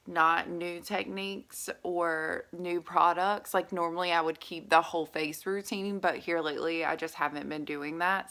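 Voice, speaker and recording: A woman's voice: on the low side, bright, forceful tone. A young adult woman, chatting through a makeup tutorial while she has a sore, stuffy throat. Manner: chatty, upbeat, lots of fillers. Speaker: beauty vlogger. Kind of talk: casual makeup tutorial chat.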